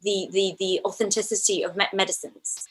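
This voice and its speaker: A woman's voice: mid-range voice, flat delivery, fairly quick, fairly bright tone. A woman from Ukraine speaking as an interview guest, a documentary filmmaker who answers conversationally and thoughtfully, often restarting her phrases.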